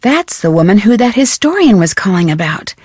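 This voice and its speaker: An actress playing a curious, polite young American detective in a video game, speaking clearly and inquisitively. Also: female voice, medium pitch, very animated, a little fast, a little mellow, no echo, studio quality. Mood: pondering.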